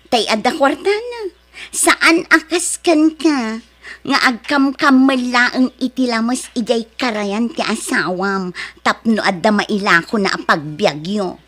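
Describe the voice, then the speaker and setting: A woman's voice: fairly high pitch, expressive, takes it slowly, a bit bright, heavily acted. An actress playing a snobbish married woman in an Ilocano drama, with a taunting, exaggerated way of talking. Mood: scornful, boastful. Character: snobbish, mocking married woman who belittles poorer neighbours. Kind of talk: Ilocano radio drama acting.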